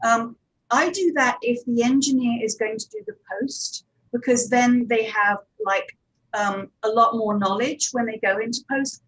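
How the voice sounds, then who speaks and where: A woman with a fairly high, husky voice speaks as a producer on a discussion panel. She talks informally and conversationally, softening her points as she goes. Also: unhurried, low-quality recording. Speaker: audio drama producer and director on a panel.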